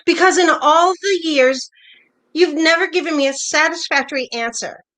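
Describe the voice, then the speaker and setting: A woman's voice: high-pitched, normal speed, a bit bright, low-quality recording. A woman playing a hurt, jealous older wife, pointed and probing, in a naturalistic play reading. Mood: accusing, hurt. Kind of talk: virtual staged play reading, naturalistic dialogue.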